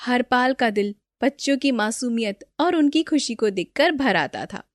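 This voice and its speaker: A woman's voice: on the high side, lively intonation, unhurried, no echo, studio quality. A woman storyteller reading a scripted children's moral story as narrator.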